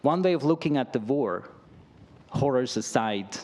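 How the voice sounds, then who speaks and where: A middle-aged man lecturing in an academic setting, and the voice sits at an ordinary middle pitch for a man. He talks in a loose, conversational way, checking in with his listeners through "right?" and "you know?" as he goes. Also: lively intonation, measured pace, darkish tone. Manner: conversational, peppered with "right?" and "I mean".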